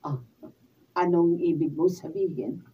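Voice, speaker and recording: Evasive Filipino aunt, husky, muffled. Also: female voice, lowish voice, a little slow, warm tone. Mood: concerned. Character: apologetic, evasive older female relative. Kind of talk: Filipino radio drama acting. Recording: dull, distant mic.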